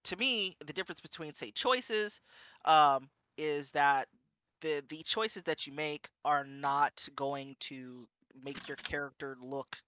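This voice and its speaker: Bright-voiced woman chatting casually. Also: deep voice, slow pace, dry recording. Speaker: streamer, panel guest. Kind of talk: casual chat.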